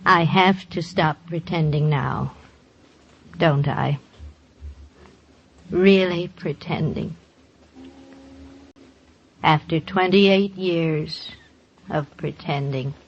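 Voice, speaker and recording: A woman's voice: deep voice, drawn-out words, pauses often, breathy edge, heavily acted. A woman acting a weary, long-married wife in an old radio drama, soothing and resigned. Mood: weary resignation. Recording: dull, lo-fi old radio recording.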